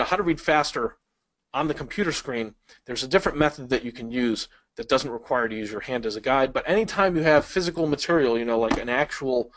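A man in his thirties teaching an online video lesson on reading, in a casual, conversational way with frequent fillers like "you know" and "uh", speaking into a headset mic in a small room. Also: mid-range voice. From Chicago, United States. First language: Polish. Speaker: speed reading instructor.

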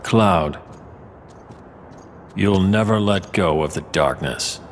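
An actor playing a cold, taunting villain swordsman in a video game cutscene, speaking with slow control. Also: deep voice, big-room sound, a little hiss or hum. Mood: quiet menace. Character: cold, menacing one-winged male villain swordsman.

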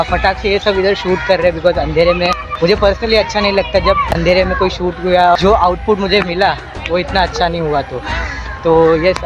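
Young guy vlogging on his phone, chatty, highish voice, music playing under it. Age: young adult. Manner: chatty, mixes English words into Hindi, laughs easily. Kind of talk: casual vlog narration. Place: city garden outdoors at dusk, then a busy restaurant. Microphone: phone held at arm's length.

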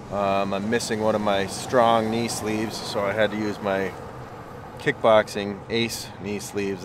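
A man's voice: lowish voice, background noise. A middle-aged man vlogging casually from his car and home, chatty and a self-described complainer. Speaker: fitness vlogger. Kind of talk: casual vlog talk. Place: parked car, then outside a truck, then home office.

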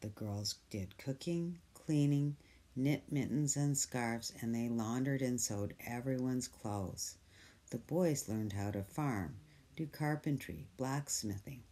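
A storyteller reading a picture book aloud, gentle and soft, sometimes dropping to a whisper. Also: medium pitch, slow pace. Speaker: storybook reader.

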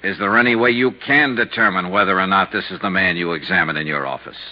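An actor playing a hard-boiled, persistent insurance investigator in a 1950s radio drama, brisk, dry and insistent. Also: male voice, low voice. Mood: impatient. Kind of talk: 1950s radio drama acting and first-person narration. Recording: noisy, lo-fi 1950s radio recording.

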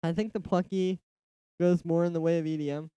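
A man's voice: fairly high pitch, brisk, clean tone, no echo, low-quality recording. A man with a nasal voice chatting casually on a livestream while producing a track. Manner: relaxed, musing aloud, reads chat replies. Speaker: music producer streamer. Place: home studio, indoors. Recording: livestream with loud music under voice. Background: electronic music playing.

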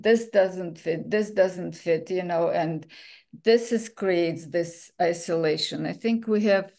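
A woman from the former Soviet Union, slowly and reflectively on a group video call. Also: fairly low pitch, gravelly, darkish tone. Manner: slow, reflective, with many "you know"s. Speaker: support group participant. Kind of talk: casual group discussion.

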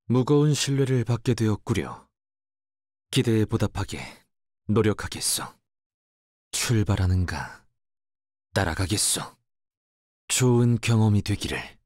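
Low, measured young fixer. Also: male voice, lively intonation, a little mellow, no echo, clean studio sound. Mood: dutiful. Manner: measured, archaic formal speech, reserved. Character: composed, formal young male office fixer, melancholy and dutiful. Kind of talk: scripted game character voice lines.

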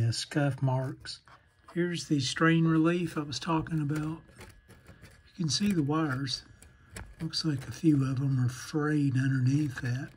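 A man narrating an instructional repair video in a halting, measured, technical way. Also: mid-range voice, darkish tone, slightly rough. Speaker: host of a radio repair channel.

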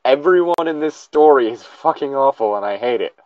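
A man with a mid-pitched voice reads and comments casually, as if among friends. He sounds exasperated and blunt, and swears freely. The sound is very dry and a little muffled. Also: lively intonation, a little fast, no room sound. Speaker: co-host reading a story aloud with running commentary. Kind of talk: casual riffing read-aloud.

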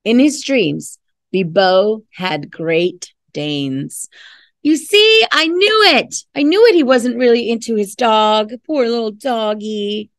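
A middle-aged woman reads a children's storybook aloud in a fairly high, very animated voice. She takes her time, speaking like a teacher who explains words and puts questions to her young listeners. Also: slow, no room sound, clean studio sound. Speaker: art teacher reading a picture book aloud. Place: classroom, indoors.

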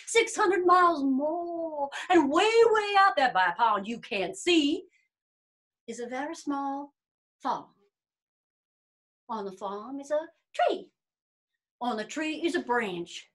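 A woman voicing a boastful, sulky male bear in a storybook, with a blustering, drawn-out and emphatic delivery. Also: sits high, lively intonation, a bit bright, pitched above the performer's own voice, heavily acted. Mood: gloating. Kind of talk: animated storybook reading.

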